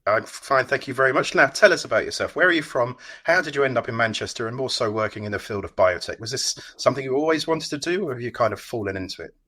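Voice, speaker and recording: The quick, keen-edged male presenter you would hear opening an industry web interview, a little husky. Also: medium pitch, expressive, sharp tone. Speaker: interview host. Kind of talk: prepared introduction and interview questions.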